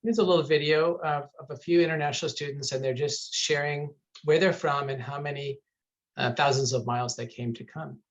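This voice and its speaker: A man's voice: a little high, fairly even intonation, a little fast, highs cut off. A man presenting a university webinar over a video call, chatty and upbeat with some fillers.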